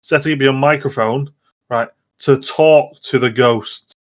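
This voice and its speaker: A man chatting casually as a podcast co-host, with loose, halting, easygoing phrasing. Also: mid-range voice. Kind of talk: casual gaming podcast chat.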